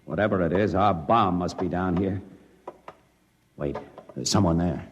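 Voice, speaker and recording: An actor playing a steady male general who gives clipped orders on a dive, in 1950s radio drama style. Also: low voice, measured pace, in a large hall, muffled recording, a little hiss or hum. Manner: clipped commands. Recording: old radio broadcast with music bed.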